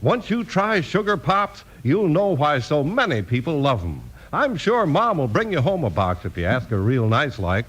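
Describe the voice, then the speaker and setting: A man announcing in polished, animated 1950s radio style, like a sponsor's salesman. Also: fairly high pitch, normal speed, hardly any pauses, noisy background.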